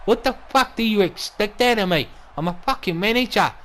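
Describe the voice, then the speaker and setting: A man's voice: animated, a little fast, fairly bright tone. A man with an Australian accent playing a whiny, mouthy wrestling manager and commentator who swears a lot and gets defensive. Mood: defensive, annoyed. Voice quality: whiny, lacking bass. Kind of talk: wrestling commentary with in-character banter.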